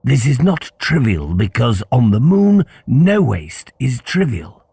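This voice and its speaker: An audiobook school principal, acted out by a man: older, stern and pompous. The voice is lifted well up from the narration and scolds in deliberate, formal phrases, in a dry close recording. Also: medium pitch, sing-song, vocal fry, dark tone, breathy edge, dry recording, pitched above the performer's own voice, full character voice. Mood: stern, reproving.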